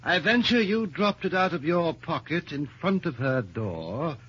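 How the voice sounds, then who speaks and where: An insinuating butler in a vintage radio play. His voice is bright, forceful and a little husky, deferential on top and knowing underneath. It sounds lo-fi and dull. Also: a little high, lively intonation, measured pace, exaggerated voice. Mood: sly. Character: sly, insinuating male butler. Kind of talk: old-time radio drama acting.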